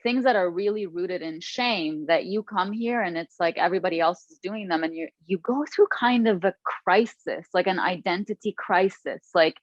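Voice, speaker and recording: Lebanese-born woman guest, thoughtful and measured, low pitch, dry muffled lo-fi audio. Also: dry recording. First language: Arabic. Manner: thoughtful, slow, candid, with restarts. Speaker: guest author. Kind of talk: reflective personal interview.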